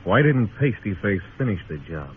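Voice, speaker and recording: A man's voice: lowish voice, sing-song, moderate pace, a little hiss or hum. An American man acting a hard-boiled US marshal in an old radio western, laconic and wry, both narrating and talking. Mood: wary questioning. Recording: muffled old radio recording with music.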